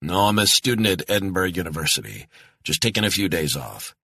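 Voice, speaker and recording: A man's voice: sits low, big pitch swings, a little fast, vocal fry, sharp tone, husky, no echo, studio quality, full character voice. A man voicing a guarded American male operative with an American accent, talking tersely and laconically.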